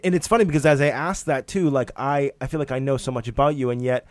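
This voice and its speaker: A man's voice: medium pitch, fairly even intonation, fast, dry recording. A man hosting a podcast, introducing his guest and asking questions in a friendly, chatty way. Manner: friendly, chatty, laughs along. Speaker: podcast host. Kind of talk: casual interview hosting.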